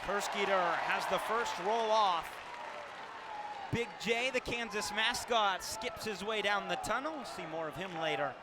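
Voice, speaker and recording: A man's voice: high voice, unhurried, over a noisy crowd. A man doing live basketball play-by-play for radio, with vivid, punchy calls that lift on big plays. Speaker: play-by-play sports announcer. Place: indoor basketball arena during a game. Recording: loud arena noise, bouncing balls. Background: loud game ambience, ball bounces.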